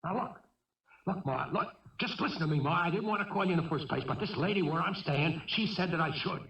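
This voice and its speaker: Troubled, stammering young fugitive. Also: male voice, on the high side, lively intonation, a little fast, recorded from a distance. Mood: agitated, exasperated. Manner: stammering, repetitive, pleading. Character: troubled fugitive killer son, defensive, lonely, childlike. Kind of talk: 1970s radio drama acting. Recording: roomy, muffled old radio broadcast.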